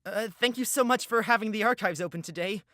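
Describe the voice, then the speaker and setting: A woman's voice: medium pitch, fast, bright, forceful tone, slight background noise, close to an everyday voice. A woman voicing a frightened, earnest young man in a visual novel, stammering and deferential, with music underneath. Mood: nervous, polite. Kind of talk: visual novel character read-aloud.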